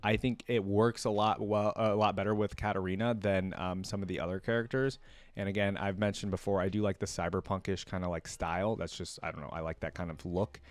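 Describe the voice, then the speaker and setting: Man, low voice, dry close sound, reaction video host, casual commentary, informal, thinking aloud. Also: fairly even intonation, brisk, no room sound.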